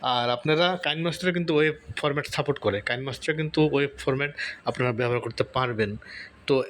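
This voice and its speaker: A man's voice: medium pitch, fast, a bit bright, a little hiss or hum. A man hosting a casual step-by-step tutorial, conversational and repetitive, often checking in with short tag questions. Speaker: tutorial host.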